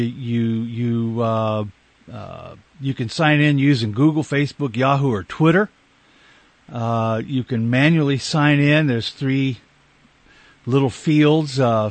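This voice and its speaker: A man's voice: medium pitch, dry recording. A man with a slight Canadian accent, hosting a radio talk show in a conversational interviewing style.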